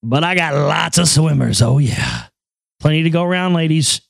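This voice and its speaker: A man with a high voice hosting a casual talk show, joking crudely and rambling over news items. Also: animated, moderate pace, gravelly, no room sound, studio quality. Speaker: show host.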